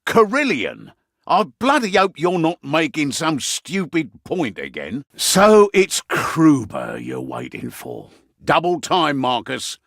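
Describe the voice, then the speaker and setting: Gruff innkeeper for a fantasy game, blunt n wry, pitch swings all over, super dry recording. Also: male voice, fairly bright tone, dry recording, heavily acted. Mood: shouting impatiently. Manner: wry, blunt, colloquial banter. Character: gruff, wry veteran innkeeper and mission quartermaster, male. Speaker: quest-giver in a fantasy game. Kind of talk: fantasy video game character barks.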